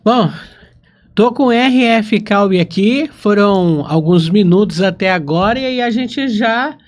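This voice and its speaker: High-voiced Brazilian male interviewer. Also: expressive, unhurried. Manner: curious, reactive, asks many questions. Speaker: podcast host, interviewer. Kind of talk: casual conversational interview.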